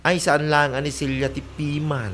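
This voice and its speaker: A woman's voice: low voice, little pitch movement, moderate pace, close to an everyday voice. A woman playing a gossipy, mocking middle-aged villager in a comedy radio drama, with an Ilocano accent and chiding manner. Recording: clear noise behind voice.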